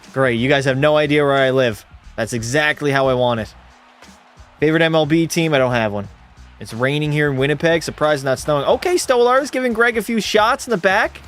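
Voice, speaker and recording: A male streamer giving casual play-by-play of a hockey game and answering his chat in a relaxed way. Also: a little high, a little fast, faint music behind. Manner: relaxed, drops into terse hockey call mode.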